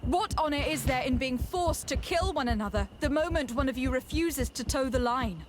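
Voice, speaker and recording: An actress playing a principled young noblewoman diplomat in a video game, earnest and protective, speaking in a measured, formal way. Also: high voice, lively intonation, background noise. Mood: earnest, resolute.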